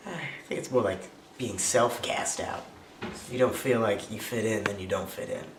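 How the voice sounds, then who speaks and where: A young man answering interview questions calmly and reflectively. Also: lowish voice, normal speed. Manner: calm and reflective. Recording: distant mic in an echoey noisy room.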